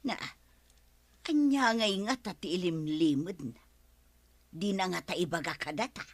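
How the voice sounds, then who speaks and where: A woman's voice: fairly low pitch, lively intonation, unhurried, no echo. A woman playing a feisty, nosy village gossip in an Ilocano comedy drama.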